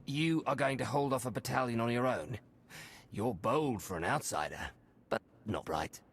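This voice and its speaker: A man playing a boisterous veteran warrior with a gravelly, boastful and mocking manner. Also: medium pitch, normal speed.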